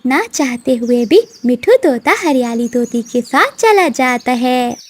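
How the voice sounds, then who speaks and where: A woman's voice: high-pitched, expressive, a little slow. A woman narrating a scripted children's cartoon story as its storyteller.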